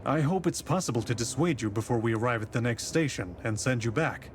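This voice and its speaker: A composed detective from a period mystery game, voiced by a man, speaks in a measured, courteous and formal way. His voice sits at a middling pitch over faint background noise. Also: expressive, close to an everyday voice. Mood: polite, formal. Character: composed male police detective from the capital in tsarist Russia. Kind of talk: visual novel voice acting.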